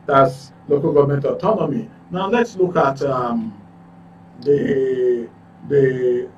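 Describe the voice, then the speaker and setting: A Nigerian man hosting a current affairs discussion in a halting, hesitant manner. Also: mid-range voice, a little mellow. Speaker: radio and TV show host. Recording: dull, distant, echoey room with hum.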